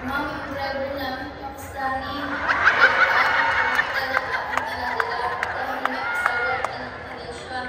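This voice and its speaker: Woman acting a coy, high-voiced sheepish worker. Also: little pitch movement, normal speed, long pauses, over a noisy crowd, heavy background noise, close to an everyday voice. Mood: sheepish pleading. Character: sheepish, cheeky pregnant married female migrant worker. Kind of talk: comedic amateur stage play acting. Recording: echoey hall from far off.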